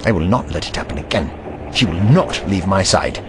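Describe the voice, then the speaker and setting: A man's voice: deep voice, animated, moderate pace, noisy background. A man voicing a haughty, eccentric time-traveling scientist in an audio drama, pompous and lecturing.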